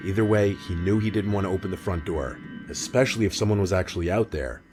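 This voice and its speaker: Deep guy narrating a creepy story, measured n matter of fact, music clearly behind him. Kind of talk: scripted scary-story narration. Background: music bed.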